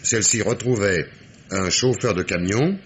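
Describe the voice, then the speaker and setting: An actor playing a sardonic, dogged police inspector in a French radio mystery play, with ironic, emphatic diction. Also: male voice, lowish voice, normal speed, slight background noise, lightly acted. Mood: deferential, reporting.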